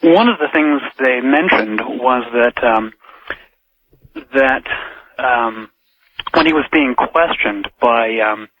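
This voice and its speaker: A man calling a radio show by telephone, talking haltingly with many filled pauses. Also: mid-range voice, no room sound. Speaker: radio phone-in caller. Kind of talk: casual phone-in comment. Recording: weak dull telephone line on radio.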